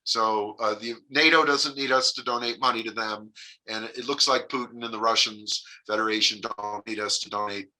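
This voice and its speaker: A man giving an improvised spiritual message in an earnest, rambling way, with many pauses and restarts. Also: on the low side, fairly even intonation, pushed and bright, poor audio. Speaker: religious leader giving a message.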